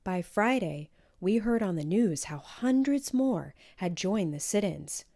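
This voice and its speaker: A woman's voice: medium pitch, a little slow. A woman reading a children's story expressively, as scripted narration, acting out the dialogue. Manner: animated, acts out each character's dialogue. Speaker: storybook reader.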